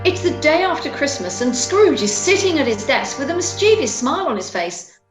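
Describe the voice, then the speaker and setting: A woman narrates a story from a script. Her voice is fairly high and very expressive, recorded in a roomy, echoing space. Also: fairly quick. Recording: roomy, echoing home audio with music.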